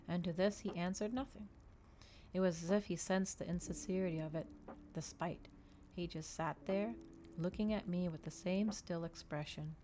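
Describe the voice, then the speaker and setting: A woman doing a soft ASMR reading of a story, with measured, expressive storytelling, as a streamer reading aloud to her listeners. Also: fairly low pitch, slight background noise.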